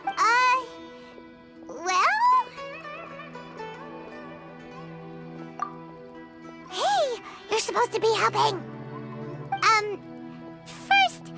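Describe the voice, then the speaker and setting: Tiny fairy game companion, extremely high squeaky childlike voice, boastful, excitable, very animated. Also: female voice, slow pace, faint music behind, heavily acted. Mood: flustered. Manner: refers to self in third person, exclamatory. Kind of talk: animated video game character acting.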